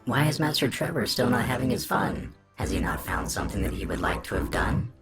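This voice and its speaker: A man's voice: medium pitch, expressive, brisk, creaky, loud music behind the voice, pitched above the performer's own voice. A man voicing a huge, politely menacing monster with a childlike, sing-song rhyming way of talking, for a horror story. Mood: eerily playful. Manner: sing-song rhyming, speaks of itself in third person.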